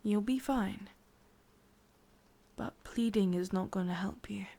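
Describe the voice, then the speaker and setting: A woman with an English accent playing a sadistic, possessive witch in a hushed, breathy ASMR roleplay, slow and coaxing. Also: mid-range voice. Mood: calm, unyielding.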